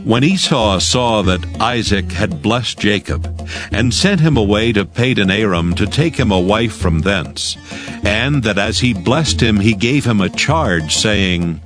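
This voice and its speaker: A man's voice: on the low side, animated, a little slow. A man narrating scripture formally as a storyteller from a script. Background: music.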